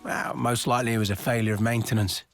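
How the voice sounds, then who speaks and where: An actor playing an easygoing colony officer in a video game, talking in a casual, colloquial way. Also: male voice, medium pitch, steady tone, quick pace, gravelly, soft background music, close to an everyday voice. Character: easygoing, grateful male colony officer and soldier.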